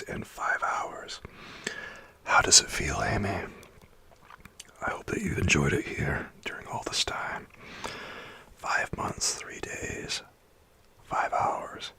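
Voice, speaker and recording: A man chatting with his livestream viewers in a soft whisper, rambling and chuckling as he goes. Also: on the low side.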